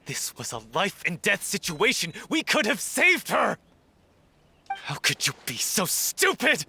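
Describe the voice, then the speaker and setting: A man's voice: sits high, huge pitch swings, measured pace, gravelly, bright, forceful tone, slight background noise, full character voice. An actor in a video game playing a grieving, hot-tempered man who speaks in a bitter, accusing way. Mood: furious accusation. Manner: confrontational, accusing.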